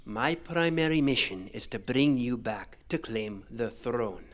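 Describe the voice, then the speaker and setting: A man's voice: mid-range voice, lightly acted. Stern, formal older warrior general played by a man. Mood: grave, patient. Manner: formal, measured, commanding. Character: stern, duty-bound veteran warrior general, male, older. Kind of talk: fantasy audio drama acting.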